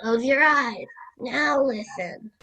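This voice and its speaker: Slow, high-voiced grandfather acted by a young woman. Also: very noisy. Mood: patient, nostalgic. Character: gruff but playful old grandfather. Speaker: student radio play performer. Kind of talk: amateur radio play acting.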